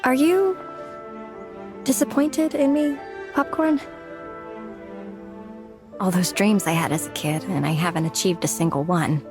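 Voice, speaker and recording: A woman's voice: lowish voice, lively intonation, unhurried, pauses often. A young woman playing an overworked, self-doubting office worker in a game cutscene, hesitant and confiding. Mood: downcast. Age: young adult. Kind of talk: acted video game cutscene dialogue. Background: background music.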